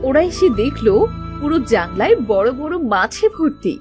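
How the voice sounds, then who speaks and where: A woman narrates a cartoon folk tale from a script. Her voice is high and melodically varied, with music clearly playing behind it. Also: very animated, normal speed.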